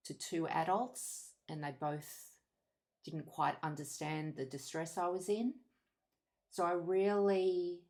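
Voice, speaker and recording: A woman in her fifties with an Australian accent, giving a candid, reflective personal monologue in measured short phrases. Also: low-pitched, vocal fry. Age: 50s. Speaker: podcast host.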